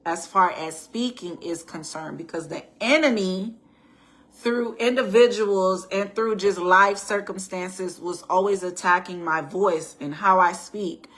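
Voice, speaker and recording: Middle-aged woman, bright and a touch raspy, conversational vlogger who talks straight to her audience. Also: mid-range voice, a little slow, low-quality recording. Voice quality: raspy at times. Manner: chatty, addresses viewers as y'all, frequent restarts and rhetorical "right?". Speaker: faith and life-planning vlogger. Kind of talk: casual personal storytime talk.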